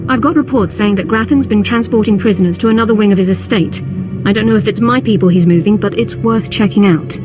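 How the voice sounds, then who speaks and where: A weary but protective military officer , acted by a woman in a video game. She is frank and no-nonsense, mid-pitched, with music playing underneath. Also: quick pace, natural voice. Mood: protective, candid.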